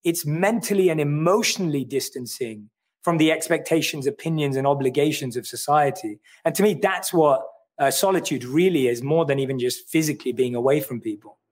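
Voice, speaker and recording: A man with a London accent who talks through his ideas in an earnest, reflective way. His voice sits a little high for a man. He speaks as an author in a livestream chat. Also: a little fast, a little mellow. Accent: London English. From London, England. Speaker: author and guest. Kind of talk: livestream conversation.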